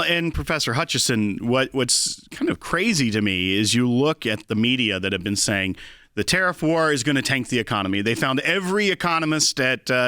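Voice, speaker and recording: Bright, very animated male host. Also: mid-range voice, clean tone, no room sound, studio quality. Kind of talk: conversational political commentary.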